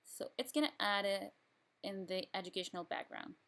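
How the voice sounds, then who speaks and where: A young woman, a student from Pakistan whose first language is Urdu, talks the listener through filling in an online form. Her pitch sits in a middle range. She explains each field in plain, step-by-step instructions and often restarts her sentences. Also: fairly quick, fairly bright tone. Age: young adult. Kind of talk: screen-recorded tutorial walkthrough.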